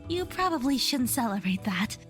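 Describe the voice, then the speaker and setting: A woman playing a reasonable young peacemaker in an audio drama, conciliatory and gently objecting. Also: fairly high pitch, big pitch swings, moderate pace, soft background music. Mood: concerned, reasoning. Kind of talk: fan audio drama acting.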